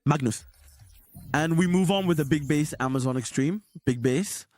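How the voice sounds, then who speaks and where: A hoarse man giving excited, repetitive casino slot commentary as a streamer. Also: a little high, normal speed, no room sound, clean studio sound.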